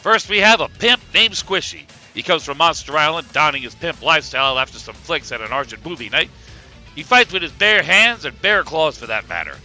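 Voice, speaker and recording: An excitable male wrestling commentator, acted out by a man, brash and theatrical. His voice is forceful and bright, swinging widely in pitch as he hams up the match commentary with music playing behind him. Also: a little fast, heavily acted. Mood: hyped. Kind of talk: hammy sports commentary.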